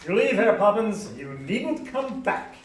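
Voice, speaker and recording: A woman's voice: medium pitch, very animated, unhurried, a little hiss or hum. A woman playing a pushy, irritable male businessman in a live radio play, blustering and bossy. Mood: angry. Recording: echoey hall, distant microphone.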